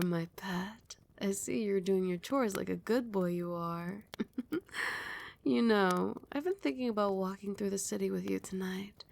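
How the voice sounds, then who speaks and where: Casting: female actor for regal vampire queen in ASMR roleplay, indulgent yet commanding mistress, low-pitched fry at the edges, breathy and whispery, formally coaxing. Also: close to an everyday voice. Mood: doting, commanding. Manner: slow, formal, coaxing pet names. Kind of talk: ASMR roleplay, intimate scripted acting.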